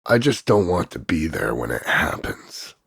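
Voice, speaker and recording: A man voicing a cocky, crude macho action hero, gravelly and husky, delivering smug deadpan one-liners in a slow drawl of punchlines. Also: low voice, no room sound, clean studio sound, heavily acted. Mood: smug, taunting. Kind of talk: voice-over demo of insults and one-liners.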